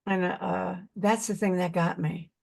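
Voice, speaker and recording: A woman's voice: fairly low pitch, steady tone, creaky, darkish tone. Earnest older New York woman. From Brooklyn, New York. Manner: earnest, halting, repeats words while searching. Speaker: actress guest. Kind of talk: casual interview chat.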